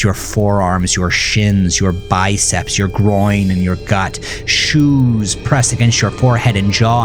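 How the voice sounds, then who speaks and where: A man narrating a horror story in a dramatic, grave and suspenseful manner. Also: low voice. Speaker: author narrating his own horror fiction.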